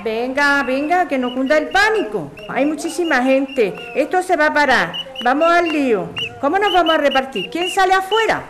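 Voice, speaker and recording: A woman playing a practical, brisk activist organizer with a Spanish accent in an audio drama. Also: high voice, sing-song. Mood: rallying. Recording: clearly noisy ambience with clicks.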